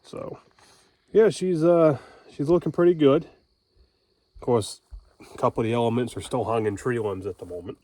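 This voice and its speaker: A man's voice: mid-range voice, moderate pace, long pauses, mellow, no room sound. A man talking casually and informally in a folksy way, explaining things as he goes. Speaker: homesteader and amateur radio operator vlogging.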